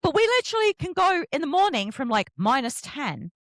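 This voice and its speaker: A middle-aged woman hosts a chatty interview. Her voice sits fairly high and swings up and down a great deal as she tells animated, self-mocking stories. The sound is dry and close. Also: a little fast, no echo. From England. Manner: animated, self-deprecating storytelling. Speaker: podcast host interviewing an author.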